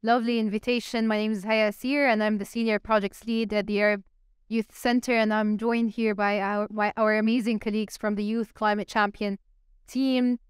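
A young woman moderating a live panel on stage with a handheld mic, engaging the audience with quick polls. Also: mid-range voice, level tone, clean tone, no room sound, clean studio sound. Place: stage in a large event hall.

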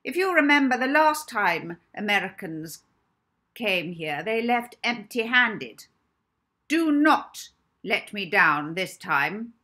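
A woman's voice: medium pitch, very animated, slow, sharp tone, voice pushed up, heavily acted. A woman voicing a stern, contemptuous orphanage mistress in a children's storybook, haughty, cutting and emphatic. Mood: scornful, commanding.

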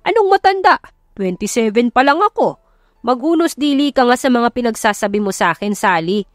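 A woman's voice: fairly high pitch, expressive, fairly quick, no room sound, pitched above the performer's own voice, natural voice. A cranky old Filipino grandfather, acted by a woman, grumbles and jokes bluntly in an audiobook story. The recording is dry and close. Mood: indignant, joking. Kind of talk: audiobook character acting.

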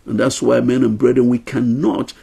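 A man's voice: mid-range voice, flat delivery, normal speed, vocal fry, warm tone, slightly rough. An older man teaching a Bible lesson to camera in a small room at home, earnest and emphatic, counting out his numbered points.

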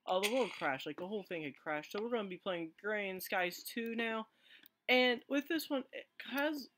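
A man with a hoarse voice he says he is losing, giving rambling casual let's play commentary full of fillers and restarts. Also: sits high, normal speed, fairly bright tone. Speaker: gaming channel host.

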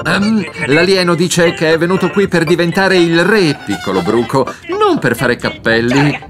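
A woman's voice: fairly low pitch, big pitch swings, moderate pace. A woman narrating a children's cartoon, expressively telling the story and speaking directly to the characters and young viewers. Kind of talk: animated scripted storytelling to children. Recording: music bed under the voice. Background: cartoon music.

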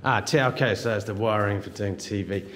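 A man's voice: fairly low pitch, fairly quick, a bit bright, reverberant, long reverb tail. A man leads a casual tour of an empty house for sale, filming as he walks and chatting as he goes. He thinks aloud and slips into broken Thai phrases. His voice rings around the bare hard-floored rooms, and a faint hiss runs underneath. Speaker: vlogger touring a house for sale. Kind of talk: casual walk-through narration.

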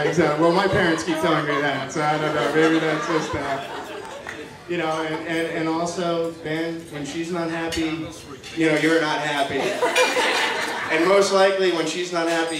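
A man's voice: a little high, fairly even intonation, a loud crowd. Young man giving a toast, distant and echoey. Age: young adult. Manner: halting, affectionate, teasing delivery. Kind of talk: informal joking wedding speech. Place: large wedding reception tent at night. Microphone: handheld PA mic, picked up by distant camera. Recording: distant echoey PA sound, noisy guest-filled tent. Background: noisy wedding guests.

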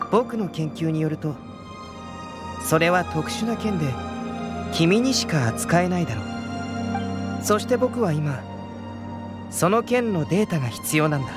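Composed, high-voiced young alchemist prodigy. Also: male voice. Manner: composed, measured, quietly curious. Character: young male genius alchemist, calm, polite, detached. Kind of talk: Japanese anime game voice acting. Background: game music.

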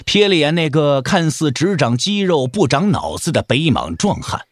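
Lively male narrator, fairly high voice. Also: normal speed, no echo, studio quality. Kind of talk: dramatic scripted audiobook narration.

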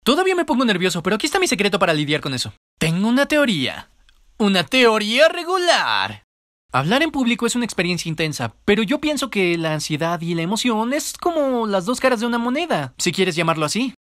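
A man narrating an animated storytime video in an expressive, comedic and self-deprecating way. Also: sits high, quick pace, no echo, studio quality.

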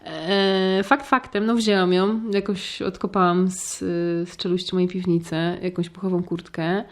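A woman's voice: lowish voice, fairly even intonation, normal speed. A woman co-hosting a podcast, talking in a casual, conversational style. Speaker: podcast co-host. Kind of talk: casual chat.

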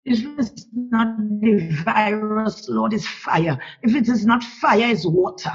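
A woman's voice: on the high side, slow pace, breathy edge. A woman from Trinidad with a Trinidadian accent, leading a fervent spoken prayer that keeps returning to "Lord" as she pleads. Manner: fervent and pleading, with "Lord" repeated in a steady rhythm. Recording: dull lo-fi recording.

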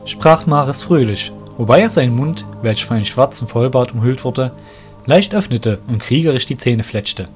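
A male narrator reads a fantasy parody story in a measured, descriptive way. His voice sits in a middle range, with music playing underneath. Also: medium pitch, animated.

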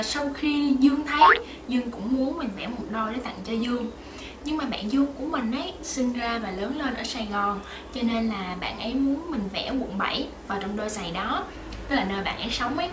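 A woman's voice: fairly high pitch, reverberant, background noise. A young woman vlogging to the camera in a small bedroom, chatting in a friendly way with many fillers. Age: young adult. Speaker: vlogger, shoe painter. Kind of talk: casual vlog talk to camera.

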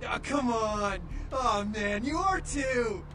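An actor playing a manic, overexcited young American slacker in a video game, stammering and whining with giddy exclamations. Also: male voice, very high-pitched, takes it slowly, slight background noise, exaggerated voice. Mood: pleading, excited. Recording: distant game audio under loud music.

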